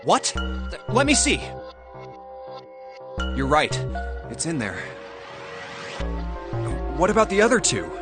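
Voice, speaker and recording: A man's voice: on the high side, huge pitch swings, slow pace, long pauses, music in the background, heavily acted. A man voicing an earnest, easily baffled young hero in an anime-style game.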